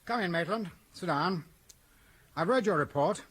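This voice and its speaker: A man playing an authoritative senior police officer in a radio drama, measured in manner. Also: sits high, expressive, fairly bright tone, slightly rough, exaggerated voice. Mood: concerned authority. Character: brisk, authoritative male senior police chief.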